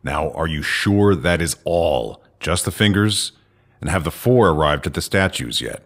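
An actor playing a stern, watchful mission leader in an audio drama, commanding and deliberate, with a gravelly, husky voice. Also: male voice, deep voice, lively intonation, a bit bright, heavily acted. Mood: stern, concerned.

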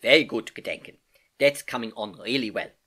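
A storybook reader voicing a relaxed, drowsy uncle with a teasing, hesitant manner. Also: mid-range voice, fairly quick, husky. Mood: encouraging. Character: drowsy, mischievous, kindly uncle teaching by demonstration.

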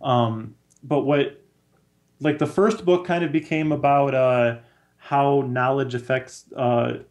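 A man in his thirties chatting casually as a guest, explaining in a halting way with many fillers. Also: mid-range voice, steady tone, a little mellow. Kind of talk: casual book recommendation chat. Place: small room at home, on a video call.

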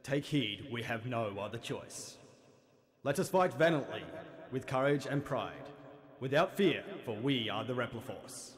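A man's voice: mid-range voice, animated, unhurried, lightly acted. A man voicing a stern, grave android general in a game cutscene, with weighty, commanding oratory. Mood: grave, resolute. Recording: reverberant hall.

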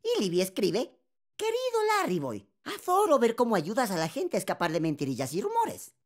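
A man's voice: high voice, huge pitch swings, a little fast. A man voicing a pompous, goofy cucumber superhero in a Latin American Spanish cartoon dub, with grandiose, melodramatic delivery. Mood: earnest. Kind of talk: dubbed cartoon acting.

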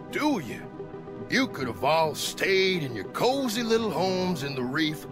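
A man voicing a pompous, sneering, short-tempered boss in a video game, with a Southern US accent and a folksy, condescending, mock-genteel manner. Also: a little high. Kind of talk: video game cutscene acting.

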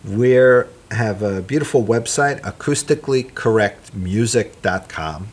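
A middle-aged man with a gruff voice, a musician guest chatting easily on a small studio set, with plenty of ums and uhs. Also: lowish voice, expressive. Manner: easygoing, halting with fillers. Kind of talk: casual chat.

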